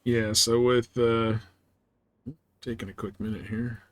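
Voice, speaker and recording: A man's voice: mid-range voice, flat delivery, measured pace, no echo. A man giving casual live commentary as he plays a game, thinking aloud as he goes. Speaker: game streamer.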